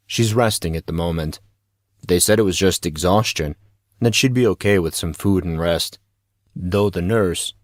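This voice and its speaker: A man plays a laid-back teenage schoolboy in an audio drama with a low voice. His talk is slangy, gossipy and flippant, and the recording is dry with no real room sound. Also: no echo.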